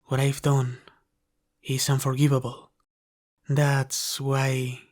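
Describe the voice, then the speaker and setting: A man's voice: medium pitch, completely flat delivery, slow, darkish tone, natural voice. A man playing a devoted, formal half-dragon butler in an ASMR roleplay, speaking deferentially and caringly to the one he serves. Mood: remorseful.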